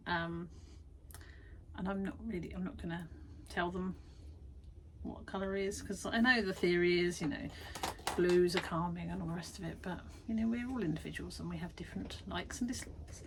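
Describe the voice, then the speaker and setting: A woman's voice: lowish voice, fairly even intonation, normal speed, background noise. A woman vlogging from her garden shed studio, chatty and self-deprecating, tired after busy weeks. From United Kingdom. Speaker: vlogger and art workshop tutor. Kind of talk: casual chatty vlog.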